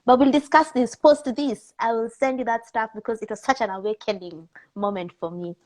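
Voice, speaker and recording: A woman with a Kenyan accent talking casually as an interview guest, candid, expressive and informal in how she puts things. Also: fairly high pitch, fairly quick.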